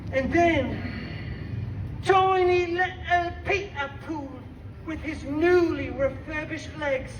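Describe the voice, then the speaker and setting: An actor playing a cheeky, irreverent mercenary telling a holiday story on stage, with an animated, mocking, theatrical delivery. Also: male voice, extremely high pitch, noisy background. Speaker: live show performer. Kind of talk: live stage comedy storytelling. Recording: echoey, distant live crowd recording.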